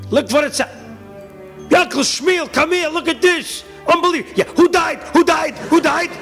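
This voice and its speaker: A man voicing an excited small-town man shouting the news, shrill and breaking into song. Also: racing, soft background music.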